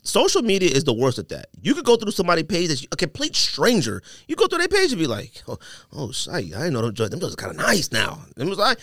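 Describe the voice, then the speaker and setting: Man, podcast co-host, animated swinging pitch, slangy, emphatic, casual banter, dry close sound. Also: quick pace, no room sound.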